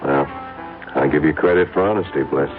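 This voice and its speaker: An actor playing a guilt-ridden working husband in an old-time radio drama, speaking in halting, earnest pleas. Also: male voice, a bass voice, over music. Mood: firm. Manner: halting, earnest, pleading delivery. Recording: lo-fi, phone-thin, hissy vintage radio recording.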